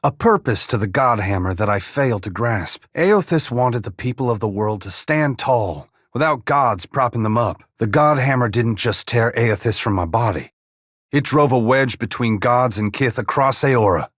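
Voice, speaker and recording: An actor playing a humble, weary dead farmer-saint in a fantasy video game, plain-spoken yet solemn, with a gravelly, husky voice. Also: male voice, mid-range voice, expressive, normal speed, no room sound, studio quality, close to an everyday voice. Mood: weary, reflective. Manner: plain-spoken rustic phrasing mixed with solemn archaic oratory. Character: humble dead farmer-saint, once god-touched, wry, earnest and weary. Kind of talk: dramatic fantasy game dialogue.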